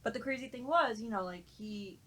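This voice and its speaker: A woman's voice: fairly high pitch, fairly quick, fairly bright tone. A woman in casual podcast conversation, telling stories informally with some swearing. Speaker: podcast panelist. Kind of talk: casual chat, anecdote telling.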